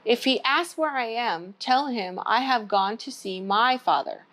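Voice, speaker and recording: A woman's voice: fairly high pitch, expressive, unhurried, fairly bright tone. A woman reading the part of a brave young shepherd man in a children's storybook, in an earnest manner. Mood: worried.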